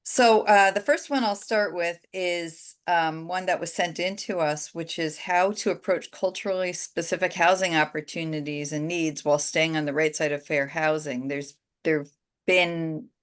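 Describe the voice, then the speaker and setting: A woman moderating a webinar panel, polite and a little halting as she reads out audience questions. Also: fairly low pitch.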